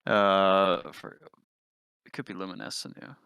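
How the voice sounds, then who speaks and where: A man's voice: low voice, a little slow, gravelly, pushed and bright, smooth, no echo. A man chatting casually as a player in a tabletop game over a voice call. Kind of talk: casual chat. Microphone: online voice call.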